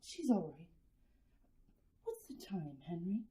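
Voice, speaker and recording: A woman's voice: on the low side, very animated, slow pace, warm tone. A woman playing an anxious suburban housewife and mother in an old-fashioned radio drama, hesitant and fretful as she hides her worry. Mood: nervous, fretful. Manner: hesitant, repeating words, fretful.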